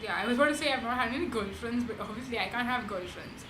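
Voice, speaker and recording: Young Indian-accented woman vlogger, slightly high voice, chatty with filler words, recorded in a roomy space with steady background noise. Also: fast talker. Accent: Indian English. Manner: chatty, frequent "um" and "like". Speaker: beauty vlogger. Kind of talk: casual chat answering tag questions. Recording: echoey room with background noise.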